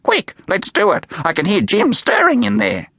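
A man voicing an excitable small female bird who squawks and chatters in a high, shrill voice. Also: moderate pace, creaky, husky, no echo, studio quality, heavily acted. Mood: excited chatter.

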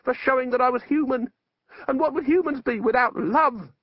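Bitter, high-strung exiled wizard played by a man. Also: lively intonation, moderate pace, highs cut off. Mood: ranting, indignant. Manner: impassioned, indignant, snarling. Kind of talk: audiobook character voice.

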